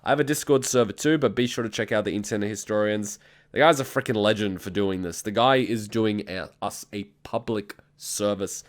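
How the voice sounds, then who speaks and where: A man's voice: on the low side, a little fast. Blunt, ranting man. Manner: ranting, blunt, heavy swearing. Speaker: reaction video host. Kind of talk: casual reaction commentary.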